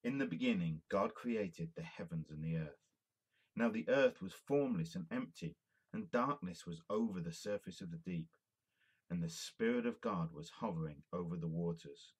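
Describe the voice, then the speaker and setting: A man giving a solemn, measured reading of scripture with emphatic phrasing. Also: deep voice, husky. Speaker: amateur Bible reader.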